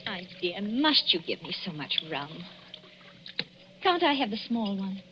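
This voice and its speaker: An actress playing a tense, defensive young wife who hides her unease, with a husky voice and clipped, guarded replies in a 1940s radio drama. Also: mid-range voice, very animated, a little slow, background noise. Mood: uneasy. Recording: dull, muffled old radio recording.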